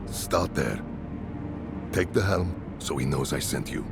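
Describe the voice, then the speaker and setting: Deep gravelly guild leader. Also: male voice, unhurried, full character voice. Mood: wry, measured. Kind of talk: video game voice acting. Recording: loud music over the voice.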